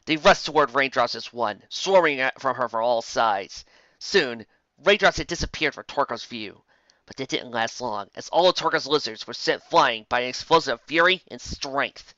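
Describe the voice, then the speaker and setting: Bright, forceful male story narrator. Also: medium pitch, animated, normal speed, no room sound, lo-fi sound. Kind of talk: dramatic scripted narration.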